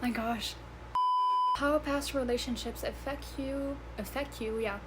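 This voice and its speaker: Giggly young woman with a slightly high voice. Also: steady tone, measured pace, rough recording. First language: not English; English is her third language. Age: 20s. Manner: chatty, giggly, swearing, stumbling over words. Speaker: vlogger. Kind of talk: casual rambling vlog chat.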